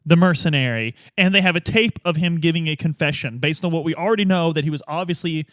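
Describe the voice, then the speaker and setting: A man with a slightly high voice hosts a roleplay podcast and plays along. His talk is casual, chatty and stop-start, recorded dry and close. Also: fast, a bit bright, no room sound. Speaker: podcast host and player.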